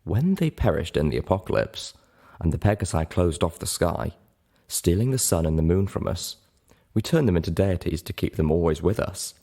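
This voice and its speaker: A man's voice: sits low, expressive, moderate pace, dark tone. A man voicing a guarded, thoughtful grey female unicorn telling her tales in a storybook reading.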